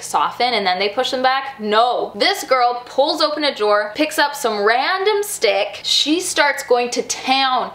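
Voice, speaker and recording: A woman in her twenties chatting casually to camera, telling a personal story in an animated way and acting out reactions. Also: medium pitch, roomy sound. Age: 20s. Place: furnished home room with a desk, indoors.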